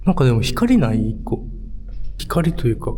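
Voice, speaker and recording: A man's voice: medium pitch, very animated, normal speed, noisy background. A man co-hosting a spooky exploration, chatting casually and reacting in a jumpy, easily scared way. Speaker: co-host of a haunted-spot exploration video.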